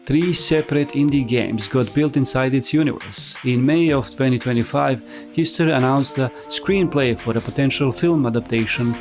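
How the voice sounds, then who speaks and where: A man's voice: mid-range voice, darkish tone. A man narrating a scripted explainer as a video essayist, with wry, conversational asides. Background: music.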